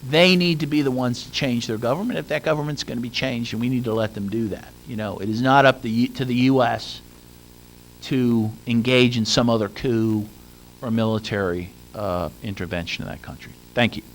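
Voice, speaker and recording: A man's voice: medium pitch, moderate pace, a little hiss or hum, poor audio. A man speaking on a conference panel, earnest and with frequent fillers and restarts. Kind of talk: conference talk. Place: conference room.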